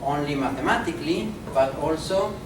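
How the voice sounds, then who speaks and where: A man's voice: on the high side, lively intonation, background noise. Male professor, far in an echoey room. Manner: deliberate, halting, many fillers. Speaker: visiting professor and speaker. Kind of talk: academic conference lecture. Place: conference room.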